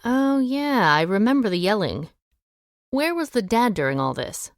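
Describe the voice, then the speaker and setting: An actress playing a shrewd, take-charge amateur detective with a brisk, wry way of reasoning aloud in an audio drama. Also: female voice, a little high, big pitch swings, no echo, studio quality. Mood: brisk, probing. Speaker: lead actor in an audio drama.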